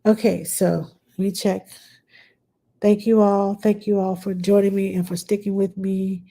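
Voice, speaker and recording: A woman's voice: mid-range voice, level tone, unhurried, dark tone. A middle-aged woman with a stuffed-up nose, chatting plainly and bluntly as a nanny answering viewer questions on a live stream.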